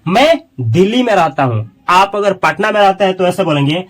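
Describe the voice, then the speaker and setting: A man with a high voice teaches a spoken English lesson. He explains in Hindi and says each English model sentence slowly and carefully. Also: big pitch swings, a bit bright.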